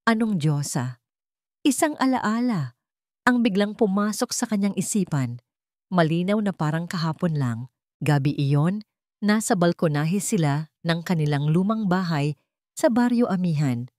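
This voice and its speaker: A female narrator delivers dramatic scripted fiction. Her voice sits somewhat low and moves widely up and down in pitch. The sound is close and dry, with no room around it. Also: animated, moderate pace, darkish tone, no echo. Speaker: story narrator.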